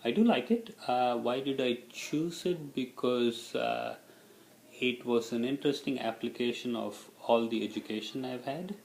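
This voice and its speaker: A man's voice: mid-range voice, a little slow, creaky, a little mellow, reverberant. A middle-aged man from India, a native Tamil speaker with an Indian accent, answering interview questions in a casual, reflective way.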